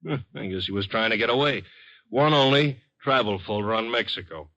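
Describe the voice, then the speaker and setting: A man's voice: lowish voice, brisk, over loud music, lightly acted. A man playing an obliging, seasoned American police sergeant in a 1950s radio drama, casual and matter-of-fact. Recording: dull noisy vintage radio recording.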